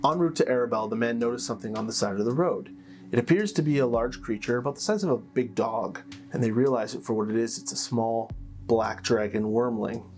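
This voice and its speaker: A man narrates fantasy lore as a game master and host. His voice is mid-pitched, with a measured storytelling delivery and dramatic pauses. Plucked guitar music plays clearly behind him. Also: darkish tone.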